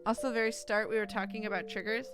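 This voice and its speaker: Woman streamer, higher-pitched, irreverent, expressive, casual commentary and text reading, clear game music behind. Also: quick pace. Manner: expressive, irreverent, reacts bluntly.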